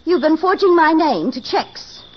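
A woman's voice: very high-pitched, expressive, a little slow. An actress playing a possessive, scheming rich woman in a haughty, cutting 1940s radio drama style. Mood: cold menace. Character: possessive, scheming rich woman, coolly taunting her fiance. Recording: dull, hissy old radio recording.

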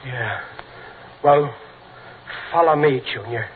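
A man's voice: medium pitch, takes it slowly, lightly acted. A male actor playing a cowardly, blustering middle-aged dad in old-time radio comedy, nervous and hesitant. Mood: uneasy. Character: jumpy, cowardly, blustering working-class dad. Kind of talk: 1940s-50s radio comedy acting. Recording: noisy, tinny old radio broadcast.

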